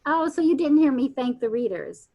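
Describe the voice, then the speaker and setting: A woman with a high-pitched voice speaks as the host of an online literary event. Her talk is informal and unscripted, addressed to the others on a video call. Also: lively intonation, moderate pace, muffled recording. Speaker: host of poetry reading event.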